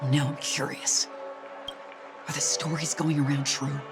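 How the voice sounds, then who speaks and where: Brief: slightly high-voiced nosy man, music loud behind. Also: flat delivery, unhurried. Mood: curious. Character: curious, skeptical male gossip. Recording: game cutscene over loud music.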